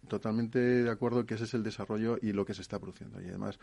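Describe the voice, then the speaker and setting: A man from giving a halting, spontaneous answer as a panelist. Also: fairly low pitch, fairly even intonation, creaky, warm tone.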